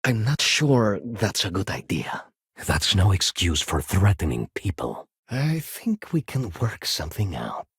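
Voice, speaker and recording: An actor playing a fussy, haughty luxury shopkeeper in a sci-fi video game, with a refined, expressive and snippy delivery. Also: male voice, deep voice, a little slow, husky, no room sound, studio quality, exaggerated voice. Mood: worried, earnest. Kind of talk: video game voice acting, short standalone dialogue lines.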